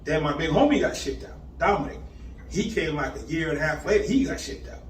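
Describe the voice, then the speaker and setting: Animated slang-heavy man from Boston. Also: a little high. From Boston, Massachusetts. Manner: animated, repetitive street slang, lists places rapidly. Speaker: guest telling his life story. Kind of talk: casual storytelling interview. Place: indoor room on location. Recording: echoey room, far mic, faint background hiss.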